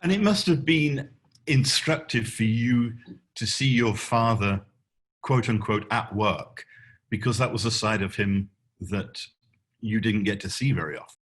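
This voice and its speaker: A man hosting an interview, asking measured questions and giving brief responses. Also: fairly low pitch, a bit bright, slightly rough. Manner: measured, deliberate questions with short backchannels. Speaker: radio interviewer host.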